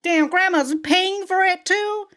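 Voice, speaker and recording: A woman's voice: very high-pitched, a little slow, fairly bright tone. A young woman hosting an anime reaction video from a small room at home, chatty, laughing often and swearing in outbursts. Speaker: anime reaction channel host. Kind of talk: casual reaction commentary.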